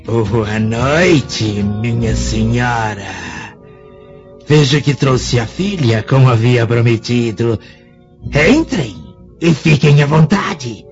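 Radio drama sorcerer, old, cunning, gravelly; unhurried, solemn delivery with a dull sound. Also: male voice, mid-range voice, over music. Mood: guarded, welcoming. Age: older. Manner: solemn, portentous, drawn-out delivery. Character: cunning old Egyptian sorcerer and potion seller, eerie, theatrical.

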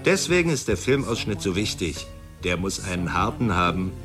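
Male film director, very animated pitch, self-important, theatrical, defensive, radio drama, clear music behind. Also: normal speed. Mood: tense, sullen. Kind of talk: German radio drama acting.

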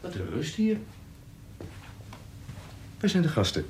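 A man playing a sly, easygoing rogue who poses as a merchant in a historical radio drama, with a husky voice and a theatrical, questioning manner. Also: fairly high pitch, huge pitch swings, slow, a little mellow, a little hiss or hum, exaggerated voice. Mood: teasing, wary.

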